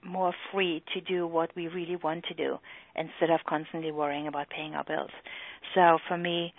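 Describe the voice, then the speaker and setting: A middle-aged woman with a German accent, speaking earnestly on a recorded group call and often pausing with fillers. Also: low voice, level tone, no room sound. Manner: earnest, halting with many fillers. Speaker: EFT practitioner and trainer. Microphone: phone or call line. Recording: tinny phone-line call recording.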